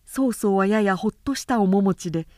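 A woman who works as a narrator reads a literary tale dramatically, in a measured, carefully articulated way. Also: mid-range voice, darkish tone, no echo, studio quality. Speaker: professional narrator.

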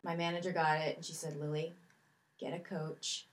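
A woman guest on a podcast with a slightly low voice. She tells her stories in a chatty, self-deprecating way. It is recorded on a studio microphone. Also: little pitch movement, moderate pace, rough recording. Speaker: actress guest. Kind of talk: casual interview chat.

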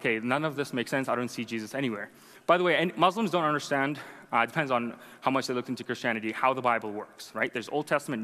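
Young guy, arabic first language, chatty testimony, bright tense voice, hall echo. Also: medium pitch. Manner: conversational, self-deprecating, frequent "right?" asides. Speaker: speaker sharing a conversion testimony. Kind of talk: personal testimony to an audience.

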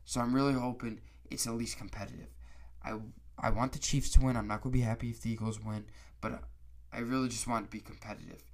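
A man talks casually on his solo sports podcast at a middling pitch. His nose is stuffed up and his throat has gone raspy from a cold. He rambles, stumbles over his words and starts his sentences over. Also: fairly even intonation, quick pace. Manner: rambling, restarts often.

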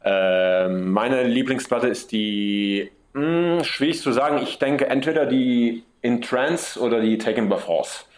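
A man with an Italian accent, a guest musician answering interview questions casually, pausing often with fillers and restarts. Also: mid-range voice. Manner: hesitant, many fillers and restarts.

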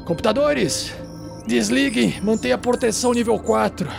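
A man's voice: extremely high pitch, lively intonation, moderate pace, vocal fry, over music, full character voice. A man voicing a small, eccentric, paranoid germophobe hacker who mutters theatrically to himself in a tabletop game. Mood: smug, muttering. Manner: theatrical muttering to himself, grumbling, self-satisfied.

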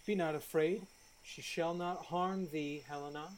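A woman's voice: low-pitched, steady tone, measured pace, darkish tone, poor audio. A woman acting a scornful, hot-headed young man in love, theatrical and mocking, in a Shakespeare radio play. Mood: protective.

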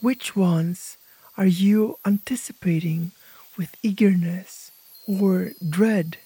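A woman narrating a guided imagery meditation as host, speaking gently in short, hushed phrases with pauses. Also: on the low side, husky, no room sound, rough recording.

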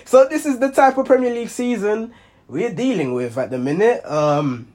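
Young male football reaction host, hoarse and under the weather; casual, rambling delivery with a slightly high voice. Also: expressive, moderate pace, a little mellow. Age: young adult. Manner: rambling, many ums and self-corrections. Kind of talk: casual monologue.

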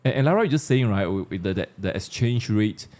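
Low, mellow Malaysian man hosting a talk. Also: animated, a little fast. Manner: conversational, poses questions to co-panelists. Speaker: discussion host. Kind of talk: casual panel discussion.

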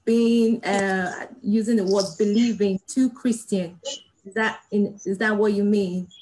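A woman's voice: medium pitch, fairly even intonation, a little slow, a little mellow, poor audio. A woman moderating an online discussion, acknowledging speakers and rephrasing their points.

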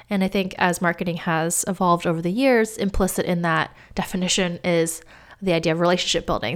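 A woman host explains a topic on her own, with a lowish voice. It comes out smooth and clear despite a gravelly edge left by a cough. She keeps a calm, measured, explanatory pace. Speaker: podcast host. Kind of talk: solo explainer talk.

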